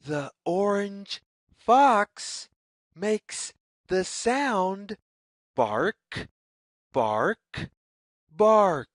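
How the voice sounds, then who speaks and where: A woman reading a picture book aloud to young children as a narrator, with deliberate, measured, sing-song phrasing. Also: medium pitch, big pitch swings, no echo, studio quality.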